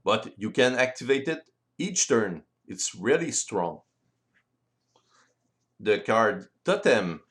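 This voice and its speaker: A man's voice: lowish voice, big pitch swings, takes it slowly, long pauses, fairly bright tone. French-accented man explaining game rules. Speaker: board game designer and presenter. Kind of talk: explanatory tutorial voice-over.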